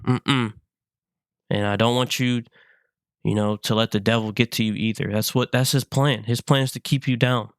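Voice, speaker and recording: A man in his twenties, sick, talking in a halting, earnest way, often repeating himself in a personal vlog. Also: on the low side, a bit bright, smooth, no room sound, clean studio sound. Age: 20s. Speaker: vlogger.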